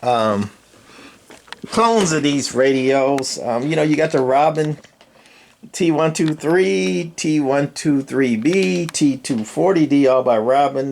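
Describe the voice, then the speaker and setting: Older male hobbyist presenter, gravelly fry in the voice, off-the-cuff and folksy, faint noise behind him. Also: on the high side, darkish tone. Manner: rambling, colloquial, folksy. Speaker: hobbyist radio repairer and video presenter. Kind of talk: casual unscripted bench walkthrough.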